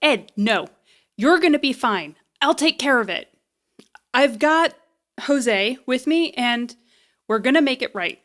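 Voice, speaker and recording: A woman's voice: high voice, lively intonation, a little slow, long pauses, a bit bright. A woman in an audio drama playing a stubborn, flippant, loyal repairperson with a casual, wry, defiant way of talking. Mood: concerned, reassuring.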